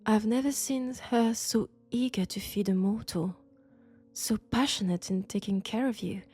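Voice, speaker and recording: Woman acting a sophisticated female vampire, French accent, dark mellow voice, seductive, condescending, unhurried, soft music behind. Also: mid-range voice, slightly rough. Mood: calm, explaining. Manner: slow, deliberate, seductive, condescending. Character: elegant, sophisticated, coolly curious female vampire scholar. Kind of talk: intimate roleplay audio drama monologue. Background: faint dark ambient music.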